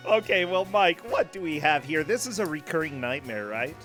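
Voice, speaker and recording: A man's voice: fairly high pitch, animated, moderate pace, a bit bright, faint music behind. A man hosting a streamed game show, chatting casually and joking playfully. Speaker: host.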